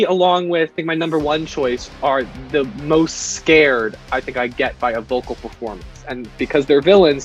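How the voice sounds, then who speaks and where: A man's voice: on the high side, muffled recording. A man chatting casually about films, thoughtful and rambling, with many restarts. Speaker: guest.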